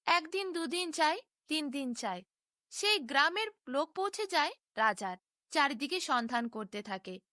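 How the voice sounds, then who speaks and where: A woman storyteller reading a scripted bedtime fairy tale in an expressive, dramatic manner. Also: high voice, a little slow, no echo, clean studio sound.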